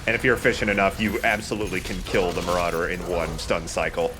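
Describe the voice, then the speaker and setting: A man hosting a let's play, giving casual, expressive commentary as he plays a shooter. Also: deep voice, fast, music in the background. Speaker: let's play host, playing a shooter game. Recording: noticeable background noise.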